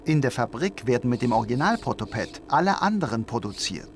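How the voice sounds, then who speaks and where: Polite, expressive little robot sidekick. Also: male voice, medium pitch, fairly quick, slight background noise. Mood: earnest. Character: small, polite, earnest male robot sidekick. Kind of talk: animated game cutscene acting.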